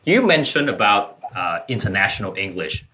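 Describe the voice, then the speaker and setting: A man's voice: lowish voice, very animated, normal speed, sharp tone, slight background noise. A man hosting a podcast, who reads a scripted welcome and then chats casually with his guest, laughing often. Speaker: podcast host.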